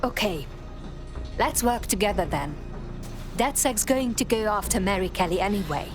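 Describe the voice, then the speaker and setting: A resolute female hacker operative played by an actress in a video game, with a mid-pitched voice. She is direct and decisive, over prominent music. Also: lively intonation, normal speed, natural voice. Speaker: resistance operative character.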